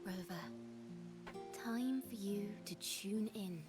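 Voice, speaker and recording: A woman's voice: mid-range voice, slow, over music, close to an everyday voice. A woman voicing a whimsical, easily distracted wandering bard in a video game, with a British accent and lyrical, theatrical phrasing. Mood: earnest, explaining.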